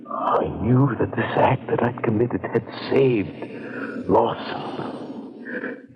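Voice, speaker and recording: Deep, weary male radio drama narrator. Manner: slow, halting, solemn. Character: grave, weary, remorseful male narrator of a horror tale. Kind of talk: 1940s radio drama first-person narration. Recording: dull old radio recording, crowd-like background.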